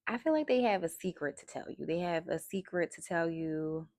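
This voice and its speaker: A soft-spoken woman doing a tarot card reading, talking in a slow, musing way. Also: lowish voice, expressive, no room sound, clean studio sound. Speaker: tarot reader. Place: indoors at a table.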